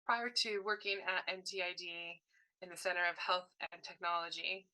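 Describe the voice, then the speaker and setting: A woman's voice: on the low side, sharp tone, rough recording. A woman voicing a deaf presenter's signed workshop talk in short, broken phrases, often correcting herself mid-sentence. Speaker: sign language voice interpreter.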